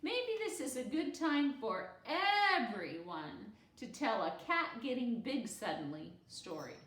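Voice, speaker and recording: A poised schoolgirl played by a woman reading a storybook holds court with total confidence. The voice is high and very lively, declaring each phrase with emphasis and never hurrying. It sounds in a roomy, echoing space. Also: slow pace, heavily acted. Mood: patient, commanding.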